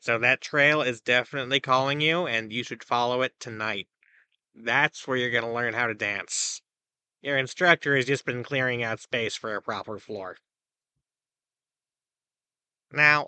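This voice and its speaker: Man playing a bright, punchy, breezy god podcaster. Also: medium pitch, normal speed, clean tone, no echo. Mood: breezy, self-assured. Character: cheerful deluded god dispensing absurd, dangerous advice. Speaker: advice podcast host. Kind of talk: comedic audio drama monologue, advice show.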